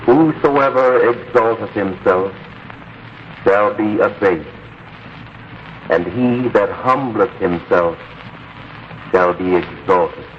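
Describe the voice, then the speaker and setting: An actor playing a solemn, authoritative spiritual master, declaiming in 1940s radio style. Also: male voice, on the low side, takes it slowly, pauses often. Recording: muffled, noisy 1940s radio broadcast.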